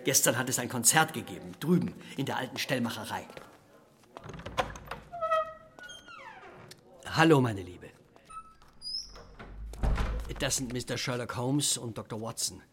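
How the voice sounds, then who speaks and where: A man acting a small, round, obliging clergyman in a radio play. His gentle, medium-pitched voice is polite and earnest, with faint noise behind it. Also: lively intonation, fairly quick, lightly acted. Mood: friendly. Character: small, round, mild, obliging reverend schoolmaster.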